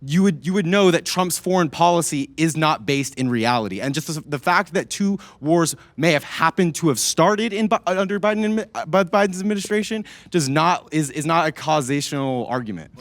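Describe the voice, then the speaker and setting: A young man arguing rapidly in a heated political debate, cutting himself off and correcting himself as he goes. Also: on the high side, dry recording. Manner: rapid, argumentative, cuts himself off.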